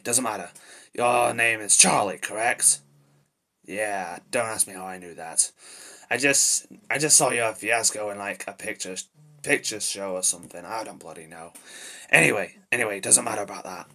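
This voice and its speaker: A man performs a short-tempered male demon devil in a homemade cartoon-style monologue. The voice is rough and raspy with a hard, forceful edge. He blurts and mutters, irritable and easily flustered. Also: lowish voice, full character voice. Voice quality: raspy, gravelly. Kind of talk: amateur character voice acting, improvised monologue.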